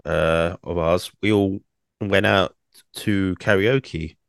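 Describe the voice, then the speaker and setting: A young man, co-hosting a casual podcast, rambling with many fillers and restarts, his throat croaky from singing. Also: sits low, fairly even intonation, slow pace, dry recording, studio quality. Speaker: podcast co-host.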